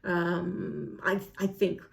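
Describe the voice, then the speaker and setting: A woman talking casually as a book vlogger reviewing to camera, often pausing and rephrasing as she goes. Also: fairly low pitch, steady tone, gravelly, a little hiss or hum.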